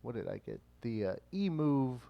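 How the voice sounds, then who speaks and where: A man's voice: mid-range voice, huge pitch swings, moderate pace, gravelly, dry recording, lo-fi sound. A man who is the show's executive producer, chatting in a mild, matter-of-fact way. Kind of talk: casual chat.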